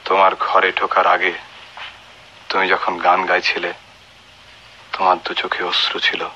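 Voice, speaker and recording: An actor with a Bangladeshi accent playing a bold, blunt former freedom fighter, a husky and deliberate speaker in a radio drama. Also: male voice, sits low, completely flat delivery, a little hiss or hum, full character voice. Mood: intense, resolute. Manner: forceful, deliberate. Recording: dull old radio recording.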